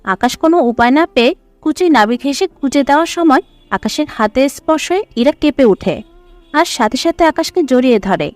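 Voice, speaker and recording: A woman's voice: sits high, lively intonation, a little fast, no echo, soft background music. A woman narrator reading a scripted dramatic story.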